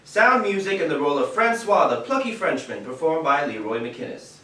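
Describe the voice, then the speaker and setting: An actor playing a polished old-time radio announcer with a dry streak, in 1940s broadcast style. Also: male voice, on the high side, very animated, moderate pace, bright, forceful tone. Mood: showy, formal. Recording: distant, echoey stage recording with hiss.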